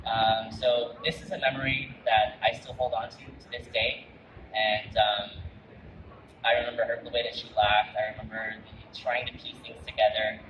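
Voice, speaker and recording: A man's voice: on the low side, flat delivery, normal speed. Man speaking from a distance in a roomy space. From Canada. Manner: earnest, warm reminiscing with frequent restarts. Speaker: chef giving a talk. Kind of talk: personal storytelling talk. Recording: roomy, distant mic with loud background noise.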